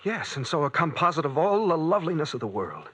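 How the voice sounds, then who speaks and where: An actor playing a moody, defensive painter husband with a theatrical, grandiose way of talking in a radio mystery. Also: male voice, fairly high pitch, big pitch swings, fast, a bit bright, breathy edge, exaggerated voice. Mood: tender, puzzled. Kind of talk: old-time radio mystery acting.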